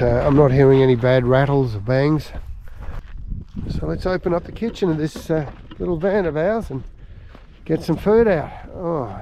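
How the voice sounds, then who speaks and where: A man's voice: mid-range voice, highs cut off. An older man with an Australian accent, chatting casually as he films an outback road trip, thinking aloud with fillers. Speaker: travel vlogger. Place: outdoors in the bush and inside a moving four-wheel drive. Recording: loud road and vehicle noise behind.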